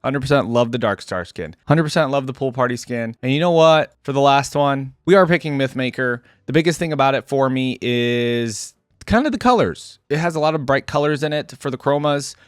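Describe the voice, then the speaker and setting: A man's voice: medium pitch, lively intonation, fairly quick, clear, resonant tone, dry recording, clean studio sound, soft background music. A man giving casual, informal reaction commentary as a video creator, thinking aloud and picking at details.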